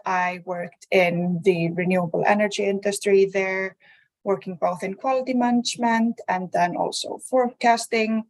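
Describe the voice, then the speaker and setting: A young woman from Iceland introducing herself on an online panel, composed and orderly with soft fillers. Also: mid-range voice. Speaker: alumna panelist.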